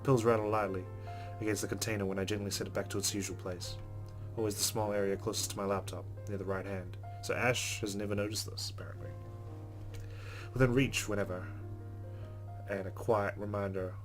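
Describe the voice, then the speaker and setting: A man reading visual novel narration aloud in a measured, subdued way, with brief asides as a let's player. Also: fairly low pitch, fairly even intonation, soft background music.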